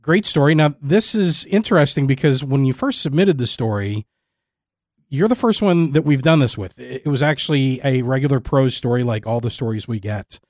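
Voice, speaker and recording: A man's voice: medium pitch, lively intonation, normal speed, darkish tone, no room sound, clean studio sound. A man hosting a podcast interview in a conversational style.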